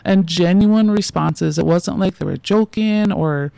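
Gravelly, rambling woman in her forties. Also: lowish voice, a little slow, mellow, no room sound. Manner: rambling, loose sentences with many fillers and restarts; chatty, laughs between thoughts. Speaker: interview guest, podcaster. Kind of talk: casual interview conversation.

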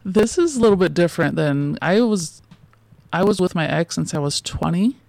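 Woman in her forties, gravelly voice, dry close sound, rambling casual talk, many fillers, chuckles between thoughts. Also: lowish voice, animated, normal speed, a little mellow, no echo. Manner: rambling, loose sentences with many fillers and restarts; chatty, laughs between thoughts. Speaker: interview guest, podcaster. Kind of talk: casual interview conversation.

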